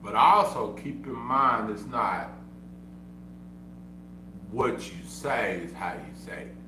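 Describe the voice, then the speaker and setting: A man's voice: on the low side, lively intonation, takes it slowly. A man who stutters, streaming a casual monologue and repeating himself insistently. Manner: repetitive, insistent, self-defending. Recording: echoey room, far from mic, humming noise.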